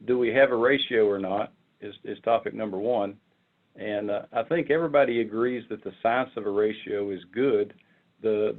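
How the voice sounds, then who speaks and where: A man informally presenting on a conference call, explaining step by step in a halting way and often repeating words. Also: fairly low pitch. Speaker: committee member presenting proposals. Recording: muffled narrow phone-like conference line.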